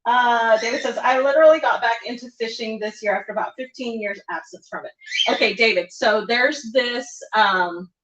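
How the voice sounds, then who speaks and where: A woman's voice: on the high side, moderate pace, fairly bright tone. A woman co-hosting a casual home livestream, laughing often as she reads viewer comments aloud. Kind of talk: casual chat. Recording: echoey room, slightly dull sound.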